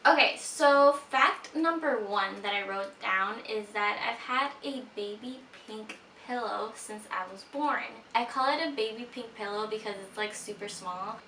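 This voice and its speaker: A woman's voice: fairly high pitch, a little slow, few pauses, bright, forceful tone, a little hiss or hum. A woman in her twenties from Peru, a native Spanish speaker, chatting casually to her viewers in a sit-down vlog, with lots of "like" fillers and giggly asides. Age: 20s. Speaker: vlogger. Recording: roomy echo, voice a bit far from mic.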